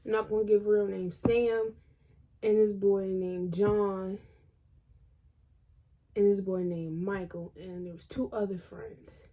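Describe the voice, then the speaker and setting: A woman's voice: medium pitch, steady tone, slow, long pauses, warm tone. A woman vlogger telling a personal story in casual, colloquial speech, acting out what people said. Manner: informal, colloquial phrasing.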